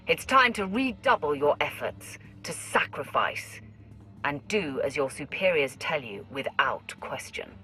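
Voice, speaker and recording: An actress playing a stern, imperious Sith warrior commanding an alliance in a dramatic video game. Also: female voice, low voice, sing-song, unhurried, faint music behind, exaggerated voice. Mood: stern, rousing. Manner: imperious, forceful.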